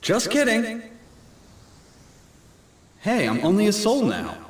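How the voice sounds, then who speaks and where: A cocky young male adventurer speaks as a wandering soul in a video game. His high voice swings animatedly, breezy and teasing, echoing as if from a distance. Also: big pitch swings, slow, exaggerated voice. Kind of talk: scripted video game cutscene acting. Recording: echoey, distant from the mic.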